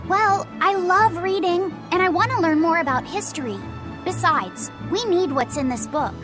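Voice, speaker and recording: An actress playing a shy, earnest, bookish young child in a video game, polite and eager. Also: female voice, very high voice, expressive, moderate pace, music in the background. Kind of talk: English game voice acting.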